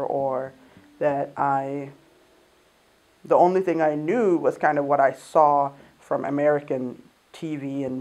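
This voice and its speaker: Man, a bit high, monotone, earnest interview answer. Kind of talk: interview talk.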